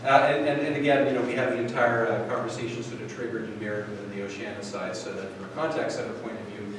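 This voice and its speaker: A man's voice: fairly low pitch, fairly even intonation, quick pace. Guy rambling thru a live tech demo, lots of ums, far off mic, noisy room. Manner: rambling, lots of fillers and restarts. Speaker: product demo presenter. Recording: echoey hall from far off, noisy.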